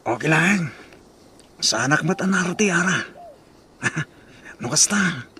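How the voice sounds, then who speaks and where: A man's voice: fairly high pitch, expressive, slow pace, pushed and bright, a little hiss or hum, exaggerated voice. A man playing a good-natured, lovestruck suitor in an Ilocano radio drama, speaking with an Ilocano accent. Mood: tender, coaxing.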